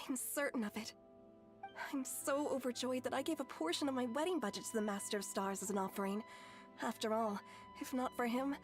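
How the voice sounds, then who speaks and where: A woman's voice: on the high side, lightly acted. An actress voicing a naive young woman who earnestly gushes about her faith. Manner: earnest and gushing. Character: naive devoted young woman, gushing believer. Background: game music.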